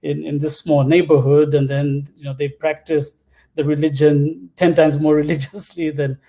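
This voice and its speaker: A man's voice: on the high side, flat delivery, moderate pace, warm tone. A man in his thirties from Zambia, telling personal stories casually and hesitantly as an interview guest. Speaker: interview guest, product executive. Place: indoor room at home, on a video call.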